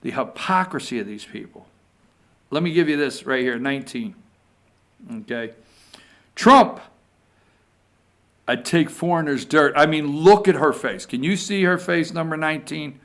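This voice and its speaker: A man with a high, creaky voice hosts a political talk show. He speaks off the cuff and with strong opinions. His delivery is exasperated and emphatic, and he likes to say a phrase again for weight. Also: lively intonation, normal speed, fairly bright tone.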